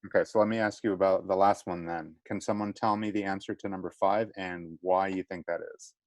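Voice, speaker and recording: A man's voice: low-pitched, steady tone, fairly quick, dry recording. A man teacher leading a small online class discussion, encouraging students and checking in often. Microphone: video call.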